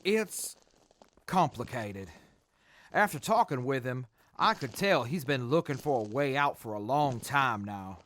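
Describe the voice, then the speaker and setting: A man playing an earnest, level-headed soldier in a post-apocalyptic audio drama, measured and sincere. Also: mid-range voice, animated, fairly bright tone, a little hiss or hum.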